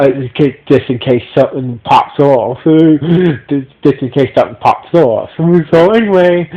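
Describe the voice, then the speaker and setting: A man with a stuffy nose, recapping a TV episode as a podcast reviewer, talking in halting bursts full of restarts and repeated fillers. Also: medium pitch. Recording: dull lo-fi audio.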